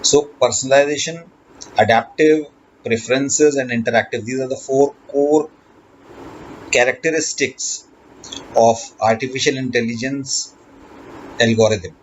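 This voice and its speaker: A man delivers an explanatory lesson in a medium-pitched voice, halting now and then to rephrase. The recording is dull and lo-fi, with faint noise behind him. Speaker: lecturer.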